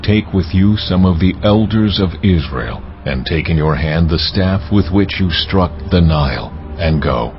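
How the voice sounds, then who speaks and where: Male actor for solemn divine ruler, deep voice, authoritative, scripture drama, slightly dull recording. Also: lively intonation, unhurried, few pauses, faint music behind. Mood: commanding. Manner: solemn, commanding delivery.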